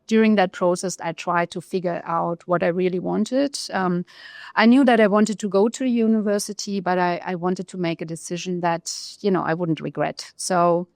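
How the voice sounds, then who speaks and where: A woman with a German accent, a research economist telling her career story in an interview, pausing often with fillers. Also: on the low side, fairly even intonation, gravelly, darkish tone, no room sound, clean studio sound. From East Germany. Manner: thoughtful, pauses often with fillers.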